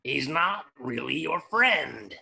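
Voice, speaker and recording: A man's voice: fairly high pitch, expressive, slow pace, creaky, bright, forceful tone, exaggerated voice. A man voicing a scheming, bombastic tiny cartoon villain who goads and bosses in a grandiose way. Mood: scheming, goading. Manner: grandiose, goading. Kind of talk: cartoon script voice acting.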